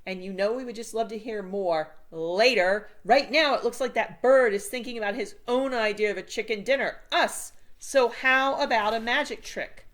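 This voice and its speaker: A woman's voice: fairly high pitch, normal speed, few pauses, a bit bright, pitched above the performer's own voice, lightly acted. A woman voicing a wry, know-it-all schoolboy with a dry, sarcastic manner in a storybook. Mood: dry sarcasm.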